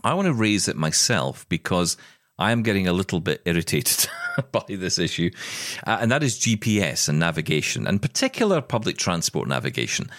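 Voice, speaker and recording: Male co-host, low and lively, playful banter, dry home recording. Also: a little fast, no room sound. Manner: chatty, jokey, acts out quoted exchanges. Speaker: podcast co-host. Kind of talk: casual chat. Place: spare room at home. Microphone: remote recording.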